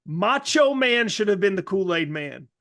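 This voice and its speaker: A man trades casual comic banter as a podcast co-host. He takes his time, with a gravelly creak in a close, dry recording, and he likes slipping into joking impressions. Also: high voice, big pitch swings, unhurried, fairly bright tone, no echo. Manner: joking, breaks into celebrity impressions.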